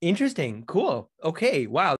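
A man's voice: on the high side, huge pitch swings, normal speed, slightly rough. A man in his thirties hosting a casual live interview over a video call, chatty and upbeat, often restarting his sentences. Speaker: podcast host. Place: home studio. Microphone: studio mic on a boom, seen in frame.

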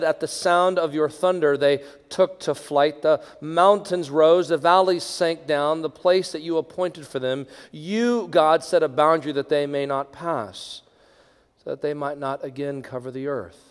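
A middle-aged male pastor prays aloud from a lectern, his fairly high voice sinking to hushed near-whispers and then rising into strong, raised proclamation. His words ring with a long reverb through a large sanctuary. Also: steady tone, a little slow, clean tone. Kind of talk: pastoral prayer.

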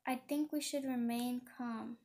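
A woman voicing an earnest, fussy goose in a storybook, serious and a little halting. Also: fairly high pitch, steady tone, a little mellow. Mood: anxious.

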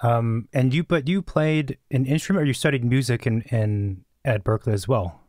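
A man's voice: mid-range voice, normal speed, a little mellow, no echo. Curious male host, dry studio sound. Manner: curious, loose follow-up questions. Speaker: podcast host. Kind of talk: casual interview questions. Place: studio with plants. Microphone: studio mic on boom arm.

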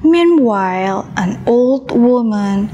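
A woman narrating a scripted children's story as a puppet show storyteller, in a deliberate manner. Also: on the high side, lively intonation, dark tone, dull audio. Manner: slow, deliberate storytelling with repeated phrases drawn out.

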